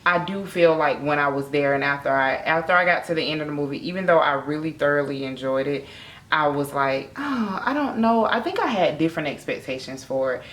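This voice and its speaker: A woman's voice: deep voice, fairly quick, fairly bright tone. A woman in her thirties with an American accent, chatting casually as a vlog co-host reviewing in a room. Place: indoor room against a plain wall.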